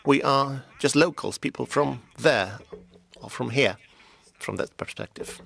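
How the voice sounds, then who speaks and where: A man interpreting conference speeches in English, delivering them in a measured, steady way and sometimes dropping almost to a whisper. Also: mid-range voice, vocal fry. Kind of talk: simultaneous interpretation.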